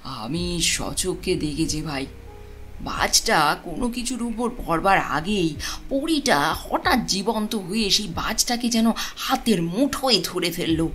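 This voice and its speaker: A woman's voice: lowish voice, lively intonation, slow, a bit bright, soft background music. A woman playing an earnest village man in an audio story, an awed eyewitness speaking with emphatic insistence. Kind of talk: dramatised dialogue in audio story. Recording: clear background noise and ambience.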